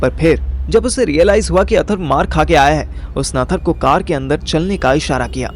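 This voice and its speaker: A man's voice: fairly high pitch, moderate pace, slight background noise. A man narrating a scripted first-person audio story as its storyteller.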